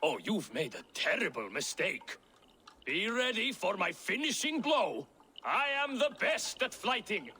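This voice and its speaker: An actor playing a boastful, dim-witted fighter in a game, pompous, theatrical and comically self-important. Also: male voice, high-pitched, sing-song, a little slow, bright, forceful tone, breathy edge, full character voice. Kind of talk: comic game cutscene acting. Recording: faint noise behind.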